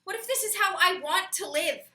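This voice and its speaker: A woman's voice: very high voice, brisk, pushed and bright, voice pushed up, full character voice. A woman performing a stage monologue as a troubled young woman who questions love and herself emphatically. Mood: anguished, searching. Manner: searching, rhetorical, emphatic questioning. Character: troubled young woman agonizing over love and self-doubt. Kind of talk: theatrical dramatic comedy monologue. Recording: echoey room, far from mic.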